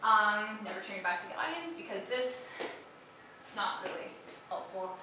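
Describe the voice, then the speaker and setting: A woman's voice: medium pitch, animated, moderate pace, background noise. A young woman giving a how-to panel talk at a convention, chatty and full of "you know" and "like", speaking on a headset mic. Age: young adult. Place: lecture hall at a fan convention. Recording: echoey hall, recorded from a distance.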